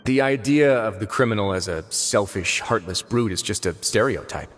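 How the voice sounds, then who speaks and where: Composed prison warden, game character, calm, measured, lowish voice. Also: male voice. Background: faint game music.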